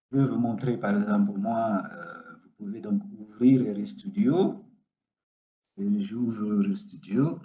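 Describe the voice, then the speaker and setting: A man whose first language is Portuguese, giving an unhurried, step-by-step software tutorial with many hesitations. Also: mid-range voice. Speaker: data science instructor. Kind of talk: screen-recorded software tutorial. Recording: roomy, dull lo-fi sound.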